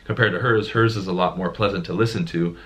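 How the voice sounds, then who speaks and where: A man with a deep voice, talking casually as a sales coach and stressing his points with repeated words. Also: steady tone, fast, fairly bright tone. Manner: emphatic, repeats words when making a point. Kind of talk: casual conversation.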